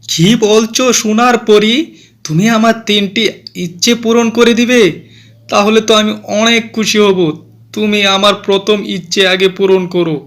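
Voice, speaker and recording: Brief: plaintive cartoon fox, voiced by a man, high and self-pitying. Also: steady tone, moderate pace, fairly bright tone. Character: sad mocked male fox with cut tail.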